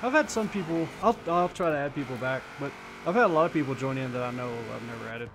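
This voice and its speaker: A man's voice: medium pitch, animated, quick pace. A man in his forties from Kentucky, chatting casually and teasing viewers while he plays a racing game on a live stream. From Kentucky, USA. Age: 40s. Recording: game car noise behind voice. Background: racing game engine sounds.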